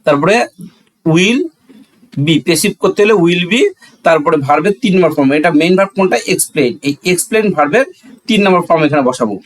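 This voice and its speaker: A man with a Bangladeshi accent and a fairly high voice gives a classroom-style lesson. He explains step by step, repeats key phrases, and poses questions before answering them himself. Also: expressive, quick pace, low-quality recording. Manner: didactic, asks and answers own questions. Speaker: English grammar teacher.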